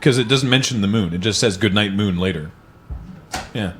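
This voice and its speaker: A man's voice: fairly low pitch, normal speed, a little hiss or hum. A man hosting, reading children's books aloud and chatting casually about them. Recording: music behind the voice. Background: background music.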